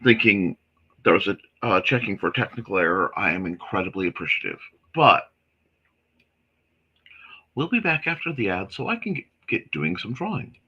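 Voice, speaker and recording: A man hosting a casual morning livestream, his voice a bit hoarse and scratchy, talking in an apologetic, rambling way with lots of fillers. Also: lowish voice, very animated, normal speed, pauses often, bright, forceful tone, lo-fi sound. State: slightly hoarse from a reaction. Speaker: host of a creative morning show.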